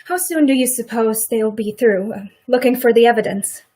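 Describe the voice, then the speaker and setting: A woman acting a plain-spoken, brooding farmer's wife in a radio play, regretful and quietly defiant, with emphatic rural phrasing. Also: a little high, normal speed, natural voice.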